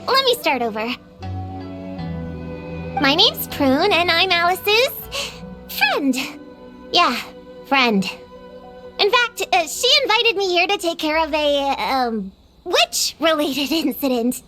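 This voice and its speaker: A woman's voice: very high-pitched, very animated, slow, pauses often, over music, exaggerated voice. A woman playing a proud, defensive young girl witch hunter in a video game, with a childlike voice and a self-important manner. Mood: awkward, bluffing. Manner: self-important, hesitant when bluffing.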